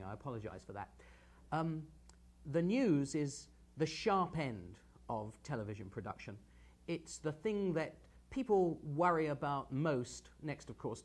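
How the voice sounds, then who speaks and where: A man hosting a live television show, giving energetic, emphatic and conversational analysis of the media. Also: medium pitch. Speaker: television host and news critic.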